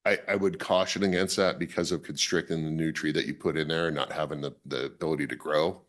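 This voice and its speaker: A man with a raspy, worn voice, presenting his project at a public planning meeting and restarting his sentences often. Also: rumbling low, fairly even intonation, quick pace. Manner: self-correcting, restarts often. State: raspy voice from a weekend tournament. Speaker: applicant presenting a site plan.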